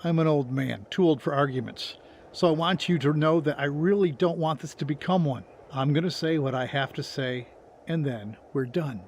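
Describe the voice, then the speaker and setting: A man plays an older survivor in a motion comic, speaking bluntly and insistently in a slightly high voice. His manner is folksy and earnest, over faint background noise. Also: normal speed, lightly acted. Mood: firm, earnest. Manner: plain-spoken, folksy, insistent.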